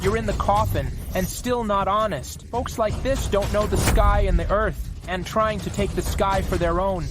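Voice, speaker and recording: A man's voice: sits high, steady tone, normal speed, background noise, close to an everyday voice. A man dubbing a sneering, hot-tempered accomplice in a melodramatic family feud, mocking and accusatory. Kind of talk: English-dubbed melodramatic short drama.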